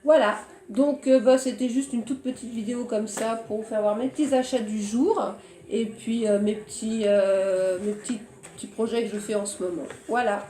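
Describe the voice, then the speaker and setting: A hoarse woman chatting casually about her shopping haul and crafts, often pausing to search for words. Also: a little high, steady tone, dark tone, echoey room, slight background noise. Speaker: crafting vlogger.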